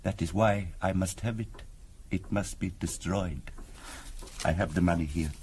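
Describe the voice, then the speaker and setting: Low-key, solemn mystic. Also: male voice, a little mellow. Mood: solemn, courteous. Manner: formal, polite, deliberate. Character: courteous, solemn male mystic and fortune teller. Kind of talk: 1970s radio drama acting. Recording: noisy old radio recording.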